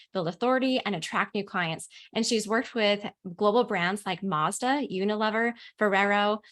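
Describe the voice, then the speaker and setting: A woman's voice: medium pitch, a bit bright. A woman from the US, a business coach, hosting a webinar over a video call in an upbeat, enthusiastic way. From United States.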